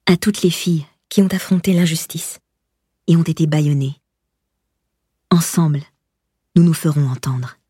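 Low, husky, breathy woman reading an audiobook. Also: moderate pace, pauses often, mellow, dry recording, clean studio sound. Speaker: audiobook narrator. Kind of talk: scripted audiobook narration of a memoir.

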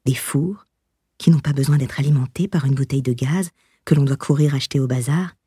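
A woman narrating a memoir as an audiobook, in a breathy, husky voice with a measured, expressive literary delivery. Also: rumbling low, no echo, clean studio sound.

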